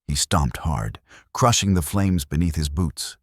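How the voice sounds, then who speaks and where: A man's voice: very deep voice, lively intonation, measured pace, no echo, studio quality. A man narrating a dramatic Western tale as a scripted storyteller.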